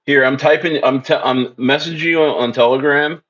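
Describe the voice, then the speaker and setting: A man's voice: medium pitch, fairly quick, a bit bright, highs cut off. Rambling man hosting a crypto stream. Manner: loose and repetitive, echoes phrases, reads chat aloud. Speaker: crypto livestream host. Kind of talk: casual livestream chat.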